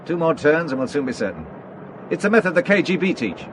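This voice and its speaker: A man's voice: on the high side, big pitch swings, fast, noisy background. An actor playing a wry, secretive middle-aged businessman in a radio drama, dryly evasive and making light of things. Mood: brisk, absorbed.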